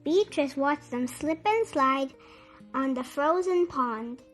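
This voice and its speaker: A woman reading a children's book aloud in an animated, deliberate, clearly enunciated storytelling style for young listeners. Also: high voice. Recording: music behind the voice. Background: music.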